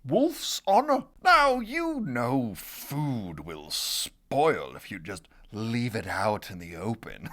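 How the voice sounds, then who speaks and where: A man's voice: a little high, a bit bright, exaggerated voice. A man voicing a sly, folksy wolf who pleads wronged innocence in a theatrical, confiding children's read-aloud. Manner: theatrical, drawn-out, conspiratorial asides with chuckles. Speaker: storybook narrator in character.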